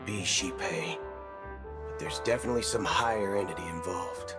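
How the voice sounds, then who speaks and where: Guy voicing a cynical robot cowboy game character, low voice, swaggering drawl, loud music under it. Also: normal speed, close to an everyday voice. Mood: cynical, insistent. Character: brash, cynical cyborg cowboy gunslinger, male. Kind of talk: video game voice acting.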